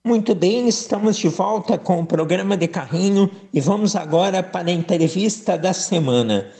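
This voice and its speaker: High-voiced sports radio host. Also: male voice, moderate pace, creaky, dark tone, slightly rough. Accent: southern Brazilian Portuguese. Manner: upbeat announcer, formal introductions.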